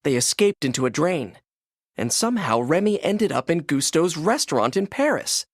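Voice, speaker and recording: A man narrating a children's read-along storybook in an expressive, scripted storytelling manner. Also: a little high, moderate pace, no echo, studio quality.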